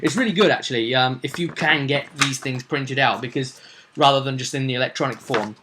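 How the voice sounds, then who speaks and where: A man's voice: mid-range voice, fairly quick, background noise. A man talking as a game master in a casual storytelling vlog, with theatrical emphasis and rambling asides. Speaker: game master recapping a tabletop roleplaying campaign.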